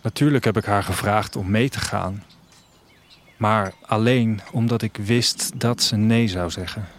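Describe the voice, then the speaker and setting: A man playing a restless, wistful traveller, narrating his story in a reflective, measured literary audio drama style. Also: on the low side, a little hiss or hum. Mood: subdued, wistful. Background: music.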